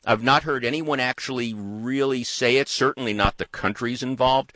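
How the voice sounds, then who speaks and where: A man in casual political chat, thoughtful and animated, talking in long winding runs. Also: medium pitch, moderate pace, dry recording. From United States.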